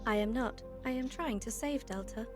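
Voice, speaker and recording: A woman's voice: a little high, normal speed, music in the background, close to an everyday voice. A woman playing a composed, capable female artificial intelligence in an audio drama, speaking in formal, clipped statements. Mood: calm, assured. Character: female AI overseer candidate, calm, capable, insistent, overly nice.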